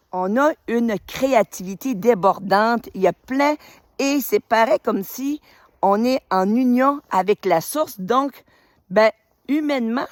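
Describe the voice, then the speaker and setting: A woman's voice: a little high, no echo, clean studio sound. Enthusiastic woman in her fifties. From New Brunswick, Canada. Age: 50s. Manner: warm, enthusiastic, rambling with many fillers. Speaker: retired teacher and spiritual group leader. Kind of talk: casual welcome video to followers. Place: outdoors on a road in winter.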